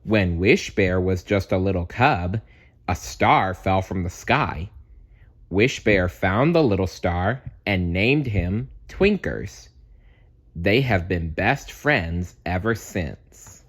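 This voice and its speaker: Guy reading a kids book out loud, lowish voice, really unhurried and expressive. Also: slightly rough. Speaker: storybook reader. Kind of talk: read-aloud children's story narration.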